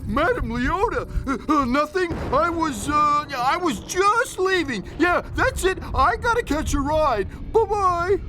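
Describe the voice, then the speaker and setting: High-pitched sheepish hitchhiking ghost. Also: animated, measured pace, hardly any pauses, noisy background. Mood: flustered, evasive. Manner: hesitant, casual, stammering. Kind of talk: theatrical scripted audio drama.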